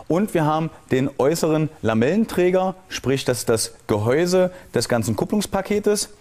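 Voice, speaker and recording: A man giving an explanatory technical walkthrough as an instructor, often halting to search for the right term. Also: fairly high pitch, a little mellow.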